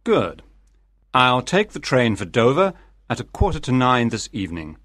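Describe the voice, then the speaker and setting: An actor playing a calm, formal, coolly confident English gentleman in a dramatized audiobook, speaking exactly and with measured care. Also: medium pitch, no room sound.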